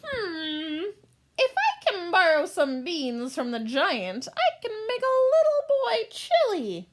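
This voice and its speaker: A woman's voice: very high-pitched, huge pitch swings, slow pace, pitched above the performer's own voice, heavily acted. A woman voicing a crafty, theatrical old witch with mock sweetness in a storybook fairy tale. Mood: scheming. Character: scheming, mock-sweet old witch who cooks children.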